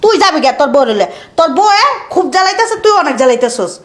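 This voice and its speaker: A woman's voice: high voice, sing-song, racing. A woman with a Bangladeshi accent playing a poor older mother and devoted, fiercely protective wife in a family melodrama. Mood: angry, scolding. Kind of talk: acted family melodrama in colloquial dialect.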